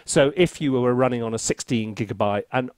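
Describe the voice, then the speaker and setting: A man chatting casually as he hosts a software review, with an animated, theatrical and self-mocking manner. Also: mid-range voice, fairly quick, no echo, clean studio sound. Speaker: composer and reviewer, video host.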